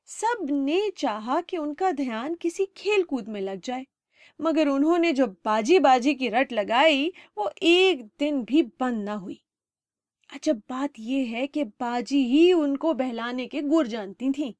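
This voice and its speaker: A high-pitched woman narrates a story for children. She reads expressively, as a storyteller does. The sound is close and dry, with very little room on it. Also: lively intonation, a little mellow, no room sound.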